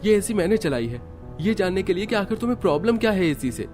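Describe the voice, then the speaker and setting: A man putting on an earnest young husband in a cartoon drama. He speaks in a raised pitch with a concerned, questioning tone over light music. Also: very animated, fast, a little mellow. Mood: firm. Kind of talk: Hindi cartoon family-drama acting.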